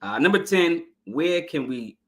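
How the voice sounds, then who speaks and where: A man's voice: fairly high pitch, big pitch swings, a little slow. A man hosting a podcast album review in a casual, hyped, slangy way, speaking straight to his viewers, his voice scratchy this morning. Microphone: live stream podcast mic.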